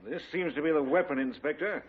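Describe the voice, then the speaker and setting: A man's voice: fairly high pitch, a little fast, slightly rough. An actor playing a respectful, dutiful male police detective in a 1950s radio drama. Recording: tinny old radio recording.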